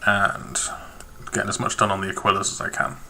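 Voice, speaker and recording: Bright-voiced man muttering while painting. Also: low voice, completely flat delivery, brisk, a little hiss or hum. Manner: muttering to himself, chatty asides to viewers. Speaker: miniature painting streamer. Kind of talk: casual live-stream chatter while painting. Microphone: webcam perched on a lamp.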